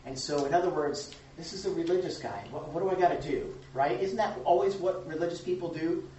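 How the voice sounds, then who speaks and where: A man's voice: fairly high pitch, fairly quick, mellow, slight background noise. Man teaching a group in an echoey room. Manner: conversational, asks the group questions, chuckles often. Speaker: pastor. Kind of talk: informal Bible study teaching. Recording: echoey room from far off, dull and lo-fi.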